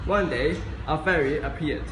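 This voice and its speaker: A man narrating an amateur skit in a plain, steady reading voice. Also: fairly high pitch, moderate pace. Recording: echoey room, noisy.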